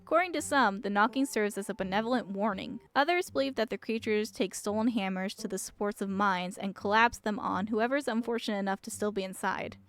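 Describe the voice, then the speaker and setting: A woman's voice: mid-range voice, fairly quick, hardly any pauses. A woman streamer reading visual novel text aloud. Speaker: game streamer. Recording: music behind the voice. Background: music.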